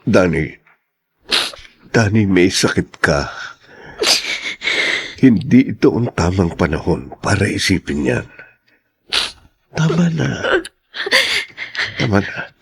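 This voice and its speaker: An actor playing a middle-aged father who gently hushes and comforts his sick daughter in hospital. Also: deep voice, sing-song, slow pace, gravelly, pauses often, a little hiss or hum, exaggerated voice. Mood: soothing. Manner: soothing, hushing. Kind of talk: dubbed TV drama acting.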